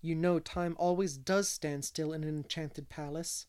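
A man's voice: fairly high pitch, steady tone, a little slow, a little mellow, clear, resonant tone, close to an everyday voice. A man reading as an earnest, kindly young woman from a storybook, romantic and dreamy yet firm, with literary phrasing.

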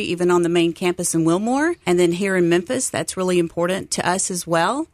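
A woman's voice: mid-range voice, dry recording, clean studio sound. A middle-aged woman from Texas, speaking as an upbeat, earnest guest in a conversational radio interview. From Texas, United States. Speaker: interview guest, seminary site coordinator.

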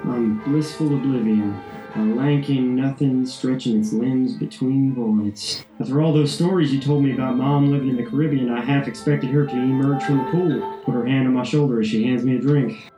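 An actor playing a driven, burnt-out investment banker, giving a poetic, intense confession as a radio-play monologue. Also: male voice, mid-range voice, steady tone, normal speed, natural voice. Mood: dreamy. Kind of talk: dramatic monologue in a 1940s-style radio play. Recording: crackling old-radio fuzz, roomy echo, music behind.

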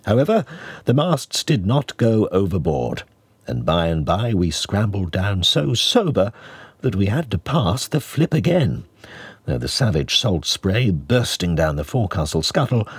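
Deep-voiced man reading a classic novel, husky and expressive. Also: no echo. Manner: theatrical, deliberate storytelling with varied emphasis. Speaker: audiobook reader. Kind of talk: scripted literary narration.

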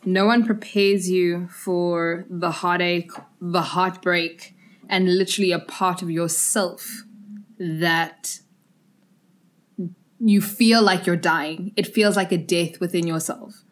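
A young woman from South Africa hosts a casual podcast interview. Her voice sits a little low. She talks at length in a reflective, earnest way. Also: fairly even intonation, takes it slowly. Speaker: podcast host.

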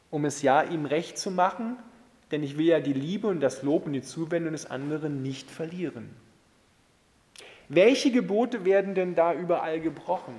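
A man delivering a sermon as a preacher, in an emphatic, rhetorical manner that swings between forceful and hushed. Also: a little high. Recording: long hall reverb.

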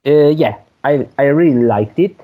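A man's voice: fairly high pitch, lively intonation, normal speed, narrow, tinny audio. A man in a casual chat, hesitant and full of fillers as he searches for words. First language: Hungarian. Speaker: guest.

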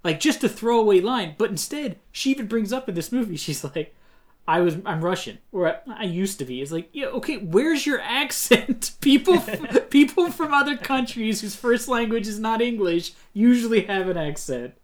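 A man's voice: high voice, expressive, moderate pace. A man co-hosting a podcast, chatting casually and animatedly, swearing and mocking as he talks. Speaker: podcast co-host. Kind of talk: casual chat.

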